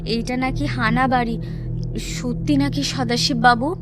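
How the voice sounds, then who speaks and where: A woman's voice: fairly high pitch, fairly even intonation, unhurried, lightly acted. A woman playing a polite, curious, uneasy house-hunter in a Bengali audio drama, questioning hesitantly, with stammers. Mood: hesitant, probing. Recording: loud background music.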